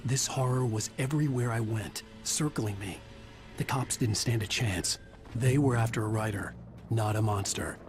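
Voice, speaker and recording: An actor playing a world-weary writer, narrating a video game in a measured, somber, dry way. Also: male voice, on the low side, faint music behind, close to an everyday voice. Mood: brooding. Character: brooding, world-weary male writer telling his ordeal. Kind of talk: scripted first-person game narration.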